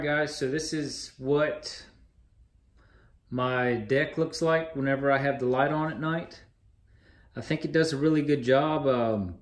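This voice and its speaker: A man casually walking through his fishing kayak setup outdoors in a yard, talking plainly with frequent fillers, recorded on an action camera. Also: mid-range voice. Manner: relaxed, plain-spoken, many fillers. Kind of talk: casual gear walkthrough.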